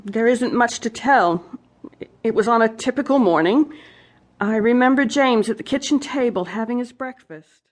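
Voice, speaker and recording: A woman's voice: a little high, moderate pace, close to an everyday voice. A woman playing an anxious, refined widow. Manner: earnest, formal storytelling. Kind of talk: radio drama acting.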